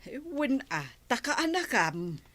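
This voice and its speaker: A woman's voice: sits high, sing-song, a little slow, fairly bright tone, no room sound, exaggerated voice. A Filipino woman acting a nagging, practical middle-aged village wife in an audio drama. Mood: chiding.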